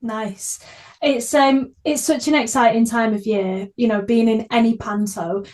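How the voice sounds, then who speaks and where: Female podcast host, fairly high voice, earnest and effusive, informal interview chat. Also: fairly even intonation, unhurried, darkish tone.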